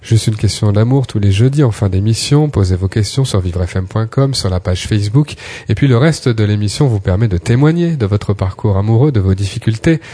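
A man hosting a radio show in a studio, with a fairly low, dark voice. He reads listener questions and introduces segments in a calm, measured way. The sound is dry and close. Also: no room sound. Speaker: radio host.

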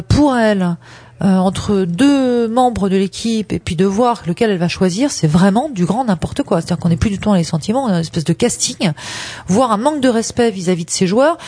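Frank woman, soft husky mellow voice. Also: mid-range voice, lively intonation, moderate pace, no echo. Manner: frank, emphatic. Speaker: relationship advice expert on radio. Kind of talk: conversational advice to listeners.

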